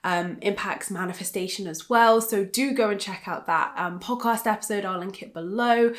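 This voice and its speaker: Woman, 30, vlogger; chatty and bubbly, lots of "like" and "um", mid-pitched, a touch nasal from a lingering cold. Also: moderate pace. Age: 30s. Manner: chatty and bubbly, many fillers like "like" and "um". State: recovering from a cold. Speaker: life coach and YouTuber. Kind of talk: casual vlog chat to camera.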